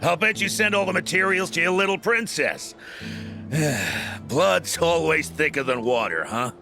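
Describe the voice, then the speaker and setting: An actor playing a cantankerous but fond townsman uncle, loud and mocking, in a video game. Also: fairly high pitch, very animated, measured pace, creaky, music in the background, full character voice. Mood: teasing. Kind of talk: animated video game acting.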